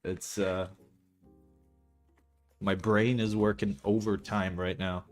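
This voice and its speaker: A man's voice: on the low side, little pitch movement, takes it slowly, soft background music. A young man from Belgium whose first language is not English, chatting casually and rambling, swearing now and then, as he walks viewers through a map he is building. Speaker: streamer building a game map. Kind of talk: casual livestream commentary.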